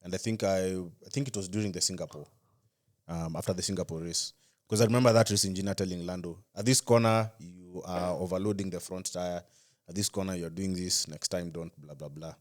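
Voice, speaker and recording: A man's voice: deep voice, steady tone, brisk. A man co-hosting a podcast in casual sports chat, often repeating phrases as he builds his point. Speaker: podcast co-host.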